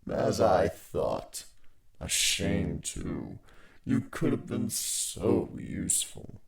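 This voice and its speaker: A man voicing a giant, coolly gloating male spider villain with a gravelly voice and slow, theatrical menace in a dramatic story reading. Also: low voice, sing-song, a loud crowd, pitched below the performer's own voice, exaggerated voice. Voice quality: gravelly, creaky.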